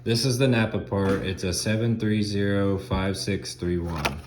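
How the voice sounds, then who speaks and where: A man in his thirties with a low, stuffy voice explains a truck repair in chatty, folksy, loosely worded talk. He records on a phone held close in his home garage. Loud background noise fills the track. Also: unhurried. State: stuffy from a head cold. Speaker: DIY auto repair host. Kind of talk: casual how-to explanation. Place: home garage, by an open door. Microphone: phone camera close by, at arm's length.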